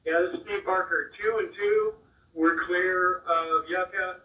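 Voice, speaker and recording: A thin, phone-like radio transmission carries a man's fairly high voice. He sounds distant and echoey as he makes a procedural call-in from the field. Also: fairly even intonation, unhurried. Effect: two-way radio. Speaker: field worker calling in by radio.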